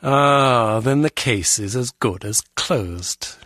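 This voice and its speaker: A man's voice: mid-range voice, slow, bright, forceful tone, no room sound, clean studio sound. A man playing an acerbic, pompous senior intelligence officer in a spy game, with sarcastic delivery. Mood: acerbic, sardonic. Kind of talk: scripted spy game dialogue.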